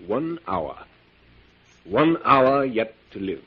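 Wildly animated mad professor villain. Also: male voice, mid-range voice, takes it slowly, breathy edge, full character voice. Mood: gloating. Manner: theatrical, commanding. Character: mad, murderous professor, imperious villain commanding robots. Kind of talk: 1940s radio acting. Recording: muffled, noisy 1940s radio recording.

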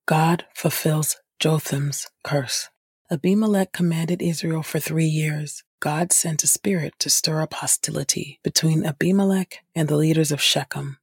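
A woman reading scripture aloud for an audio Bible podcast in a measured, formal manner. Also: deep voice, slightly rough, no echo, clean studio sound. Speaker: scripture reader and podcast host.

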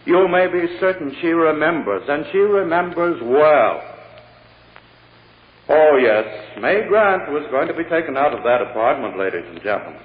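Actor playing a theatrical prosecutor in a reverberant hall. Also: male voice, a little high, animated, natural voice. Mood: grandly dramatic. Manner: oratorical, insistent, dramatic pauses. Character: forceful, theatrical male prosecutor pressing witness and jury. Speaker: actor in a radio courtroom drama. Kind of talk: old-time radio acting. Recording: muffled old radio, long hall reverb.

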